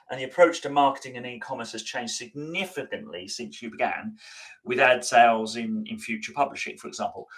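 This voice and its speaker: A man's voice: medium pitch, fairly bright tone. A man hosting a live interview podcast, chatty and prone to stumbling restarts. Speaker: podcast host.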